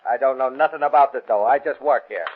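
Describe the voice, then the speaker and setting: A man's voice: mid-range voice, fast, lightly acted. Actor as a streetwise 1930s gambling-joint doorman. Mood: frightened pleading. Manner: streetwise, colloquial tough talk. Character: wary, slippery male gambling-joint doorman, pleading when cornered. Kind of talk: 1930s radio crime drama acting. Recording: tinny, band-limited 1930s radio recording.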